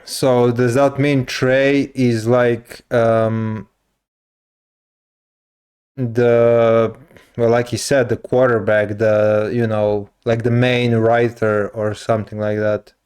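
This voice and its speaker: A man in his thirties chatting through a reaction video in a smooth, clear voice. He talks slowly and rambles with many fillers, often breaking into laughter. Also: mid-range voice, level tone, a little mellow. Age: 30s. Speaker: reaction channel host. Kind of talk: casual reaction commentary.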